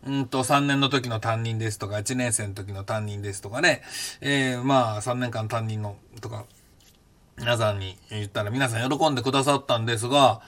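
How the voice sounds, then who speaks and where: Brief: man, 50s, podcast host, lively and blunt in casual memoir talk, mid-range voice. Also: mid-range voice, normal speed. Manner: animated, blunt storytelling with rough casual speech. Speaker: podcast host recounting his past.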